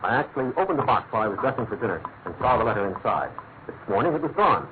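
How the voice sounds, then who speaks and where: Quick, animated British statesman played by a man. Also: medium pitch, natural voice. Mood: anxious, earnest. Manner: rapid, earnest, formal, emphatic. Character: earnest, anxious, elegant middle-aged government minister. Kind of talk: classic radio drama acting. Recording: thin narrow-band old radio recording, faint noise.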